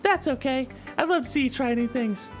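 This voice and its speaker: Visual novel club leader, played by a woman: teenage girl, high-pitched, upbeat, encouraging, light music underneath. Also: fairly quick, close to an everyday voice. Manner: cheery, chatty, gently coaching. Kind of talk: visual novel character dialogue read aloud. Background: soft background music.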